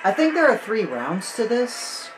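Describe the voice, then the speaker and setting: A gamer hosting a casual walkthrough, with a very lively, swinging pitch and an unhurried pace. They talk to themself as they play and are quick to exclaim. Music plays behind the voice. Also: rough recording. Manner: excitable exclamations and self-talk while playing. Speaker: video game walkthrough host. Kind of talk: casual gameplay commentary.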